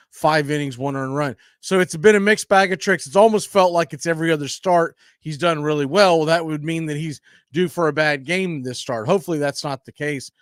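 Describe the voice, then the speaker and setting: A man with a slightly high voice hosts a baseball pregame show. He talks stats and matchups in a folksy, conversational way. The sound is dry, with no room echo. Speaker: sports radio host.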